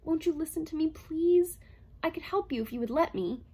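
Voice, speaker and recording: A woman doing a gentle pleading male robot. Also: high-pitched, expressive, moderate pace, pitched above the performer's own voice. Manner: soft, pleading, reassuring. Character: earnest gentle male mind-reading robot. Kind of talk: audiobook character reading.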